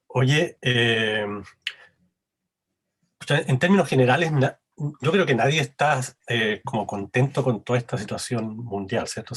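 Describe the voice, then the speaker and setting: Hesitant man moderating, slightly husky. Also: medium pitch. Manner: hesitant, lots of fillers and tag questions. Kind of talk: moderating a panel.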